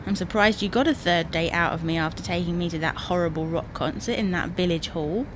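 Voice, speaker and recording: A British actress playing an exasperated middle-aged wife in a domestic audio sitcom, with dry, bossy, gently teasing delivery. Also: female voice, lowish voice, a little fast, background noise, close to an everyday voice. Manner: dry, scolding, sarcastic asides.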